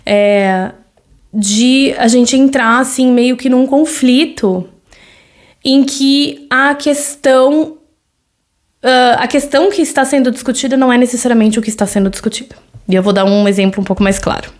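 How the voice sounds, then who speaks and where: A woman's voice: high-pitched, fairly even intonation, normal speed. Brazilian woman chatting on her podcast. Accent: Brazilian Portuguese. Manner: conversational, with frequent fillers and tag questions. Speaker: podcast host. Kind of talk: solo podcast monologue.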